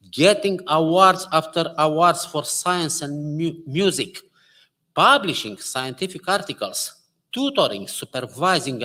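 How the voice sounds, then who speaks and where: A man's voice: on the high side, a bit bright, poor audio. A man with a Romanian accent, a physics professor, giving a formal and enthusiastic introduction.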